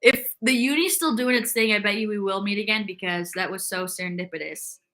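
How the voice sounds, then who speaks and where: A woman's voice: medium pitch, a little fast, pushed and bright. A young woman hosting a casual fitness livestream, chatting upbeat and reading viewer comments aloud, with lots of "like" fillers.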